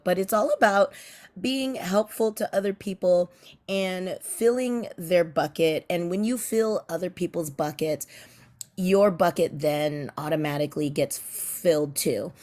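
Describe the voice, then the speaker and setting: A middle-aged woman, a teacher and parent, sharing tips in a chatty talk to camera, her voice a bit raspy, with many fillers and restarts. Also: fairly low pitch, a little mellow. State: slightly raspy voice from cheering at kids' sports.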